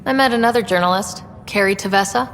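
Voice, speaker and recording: A woman playing a composed, supportive young space explorer in a video game, speaking in an earnest, measured way. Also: medium pitch, a bit bright, natural voice. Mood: composed, businesslike. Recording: reverberant, faint background noise.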